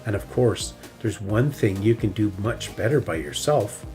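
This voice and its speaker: A man voicing a patient, gentle mother bear who speaks quietly. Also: fairly low pitch, animated, a little slow, soft background music. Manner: quiet, soft-spoken.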